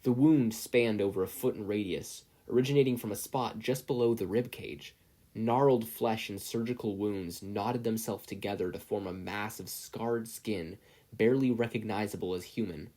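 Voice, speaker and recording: A man's voice: on the low side, warm tone. A man reading a story aloud as scripted audiobook narration. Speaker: podfic reader, narrator.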